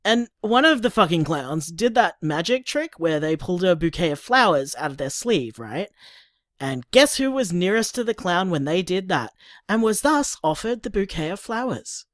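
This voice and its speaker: A woman with an Australian accent playing a blunt, sweary young partner, talking in an animated, wry way. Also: on the low side, normal speed, dry recording, clean studio sound. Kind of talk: audio drama, voice-message monologue.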